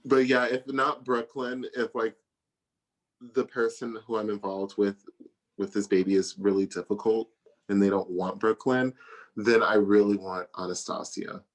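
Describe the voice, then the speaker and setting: A woman's voice: extremely low pitch, steady tone, moderate pace, rough recording. A woman in her early thirties, a guest in a casual interview, talking in a playful, chatty way and laughing easily. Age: 30s. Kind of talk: casual chat.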